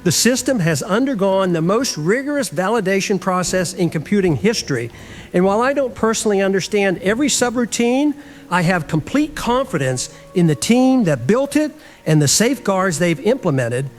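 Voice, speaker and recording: Audio drama: male actor as formal, guarded deputy director, high-pitched for a man, measured and evasive, music bed. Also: very animated. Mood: guarded, assured. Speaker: interviewed government official. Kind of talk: scripted radio drama interview.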